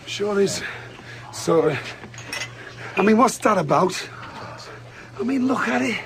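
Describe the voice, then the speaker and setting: Man acting excitable high-voiced station cook. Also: slow pace. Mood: incredulous. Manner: blunt, incredulous. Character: excitable, incredulous male cook at polar station. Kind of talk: audio drama acting.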